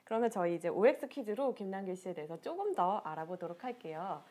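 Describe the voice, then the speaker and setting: A woman's voice: medium pitch, very animated, fast talker. A young woman hosting a casual livestream interview, asking questions and passing along viewer comments in a small indoor room. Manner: bright, chatty, reads viewer comments. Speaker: interviewer host.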